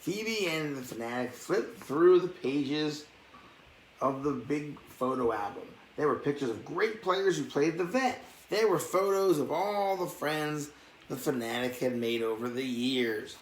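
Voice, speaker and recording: A man's voice: fairly high pitch, expressive, unhurried. A man reading a bedtime story aloud, dropping in casual asides as he goes. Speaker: storybook reader. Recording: echoey room with faint background noise.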